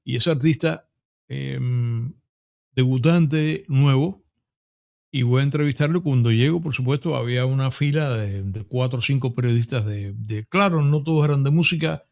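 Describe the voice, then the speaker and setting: A Cuban man in his fifties with a Cuban accent, hosting a podcast interview and telling stories in a lively, chatty way full of asides. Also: mid-range voice, gravelly. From Cuba. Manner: animated storyteller, full of restarts and asides. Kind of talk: casual conversational interview.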